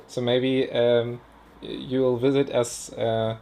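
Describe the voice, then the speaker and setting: A man's voice: medium pitch, flat delivery, slow pace, dark tone. Thirties man, polite moderator, filler-heavy delivery. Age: 30s. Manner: polite, searching for words with fillers. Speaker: host, moderator. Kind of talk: online seminar moderation.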